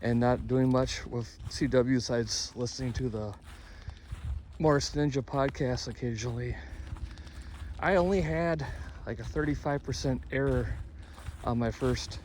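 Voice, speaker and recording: A man records a casual hobby vlog in an average-pitched voice. He rambles candidly, pausing and rewording as he goes. Clear ambient noise sits behind his speech. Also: a little mellow. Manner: halting, self-correcting, candid. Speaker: amateur radio hobby vlogger.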